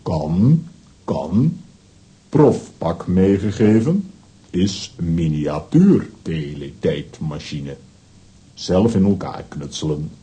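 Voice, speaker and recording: A man's voice: low-pitched, lively intonation, takes it slowly, warm tone. A man playing a big, simple strongman in a children's audio drama, speaking in terse, clipped telegram phrases. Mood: matter-of-fact. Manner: terse, drops articles and pronouns.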